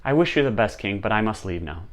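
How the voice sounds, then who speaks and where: Storybook young monk, read by a male storyteller: humble, deferential, gentle, with plenty of melodic movement in the voice. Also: on the low side, animated, fast, a crowd in the background, lightly acted. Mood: politely refusing. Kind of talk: storytelling character voice.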